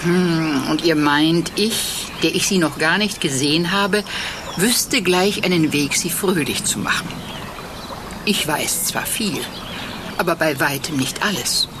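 A woman voicing a wise, dignified old female owl countess in an audio story, calm and gently teasing. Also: low voice, unhurried, noisy background. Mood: musing.

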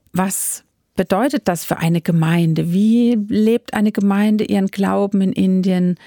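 A woman who interviews her guest with clear, composed questions. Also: mid-range voice, mellow, dry recording, studio quality.

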